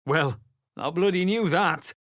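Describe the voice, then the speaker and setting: A man playing a rough, angry young peasant in a video game, speaking bluntly and urgently. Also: on the high side, a little slow, fairly bright tone, dry recording, clean studio sound. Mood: gruff, wary.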